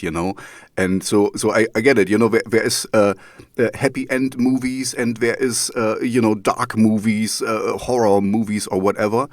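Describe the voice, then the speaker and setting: Hesitant German-accented man, low and dry. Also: darkish tone, no room sound. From East Germany. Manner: hesitant, many fillers and restarts. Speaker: podcast host. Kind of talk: casual interview over a call.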